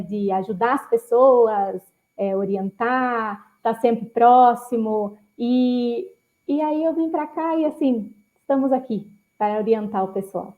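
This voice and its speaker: A woman's voice: fairly high pitch, a little mellow. A woman who worked at a bank for years, a guest on a live stream, speaking hesitantly with many fillers through a headset. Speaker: guest, former bank employee. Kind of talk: casual live stream talk. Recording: tinny call audio.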